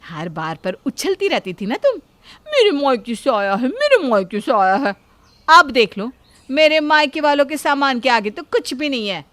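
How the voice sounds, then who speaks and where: Woman doing a snooty gloating bhabhi in a family story, high voice, swings around a lot. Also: big pitch swings, moderate pace, a bit bright, no room sound. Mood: boastful. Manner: gloating, haughty, showy. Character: boastful, taunting young Indian elder sister-in-law. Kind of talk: Hindi family moral-story acting.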